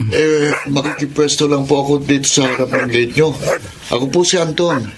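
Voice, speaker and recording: A man's voice: medium pitch, fairly even intonation, normal speed, background noise, close to an everyday voice. A man playing a meek, apologetic older travelling cobbler in a Filipino radio drama, deferential in manner. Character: humble, apologetic older itinerant male cobbler.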